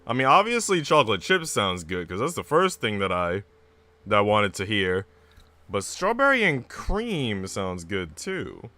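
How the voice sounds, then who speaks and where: A man livestreaming a visual novel, moving between casual, chatty musing and expressive story narration. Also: on the low side, measured pace. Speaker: streamer reading a visual novel aloud. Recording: music playing behind the voice. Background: background music.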